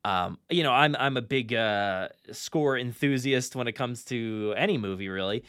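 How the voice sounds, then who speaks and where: A man's voice: mid-range voice, moderate pace, fairly bright tone, clean tone, no room sound, clean studio sound. A man hosting a podcast in a casual, enthusiastic, chatty and informal way. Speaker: podcast host.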